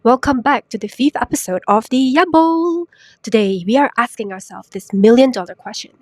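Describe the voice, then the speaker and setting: Raspy congested young woman podcasting. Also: on the high side, normal speed, a little mellow, no echo. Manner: chatty, self-mocking, rhetorical questions. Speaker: podcast host. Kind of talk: casual solo podcast talk. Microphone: new podcast microphone.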